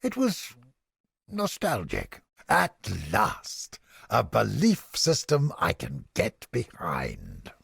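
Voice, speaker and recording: A cynical male inquisitor scholar for a video game, smug and theatrical. His voice is heavily creaky and husky, recorded close and dry. He speaks in formal, old-fashioned phrases with sardonic relish. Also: on the low side, huge pitch swings, a bit bright, no room sound, full character voice. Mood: relishing, nostalgic. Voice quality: gravelly, husky, breathy edge. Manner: theatrical, deliberate, sardonic, formal archaic diction.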